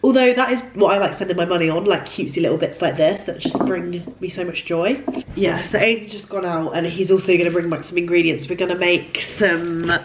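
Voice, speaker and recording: A woman's voice: lowish voice, fairly even intonation, a little fast, a little hiss or hum, rough recording. A woman, chatting casually in a vlog while ill, her voice congested and nasal. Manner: chatty, gushing, lots of fillers. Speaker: vlogger.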